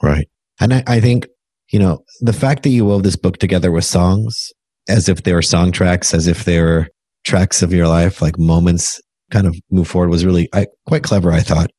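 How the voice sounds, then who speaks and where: Brief: male interviewer, very deep husky voice, dry close sound, thoughtful and encouraging. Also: fairly even intonation, moderate pace, warm tone, dry recording. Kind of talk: interview conversation.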